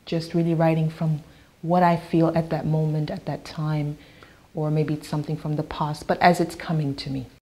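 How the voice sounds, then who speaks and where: A woman whose first language is Yoruba, a singer-songwriter talking thoughtfully in an interview, pausing with fillers and restarts. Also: sits low, level tone, warm tone. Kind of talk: interview talk.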